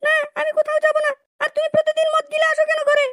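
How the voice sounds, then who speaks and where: A woman voicing an aggrieved young village wife in an amateur comedy cartoon, squeaky and scolding in an indignant, complaining way. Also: monotone, fast, fairly bright tone. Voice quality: squeaky, artificially high-pitched.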